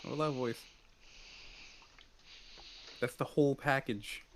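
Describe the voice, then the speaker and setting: A man on a stream's voice chat, loud and joking, with an offhand manner. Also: medium pitch, measured pace, a crowd in the background. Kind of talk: casual game stream chat.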